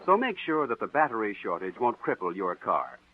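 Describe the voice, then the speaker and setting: Old tinny radio ad guy, 1940s announcer, very animated, bit husky, explains and sells hard. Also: lowish voice, brisk. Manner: instructive, emphatic salesmanship. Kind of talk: 1940s radio sponsor advertisement, scripted. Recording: tinny, narrow-band vintage radio recording.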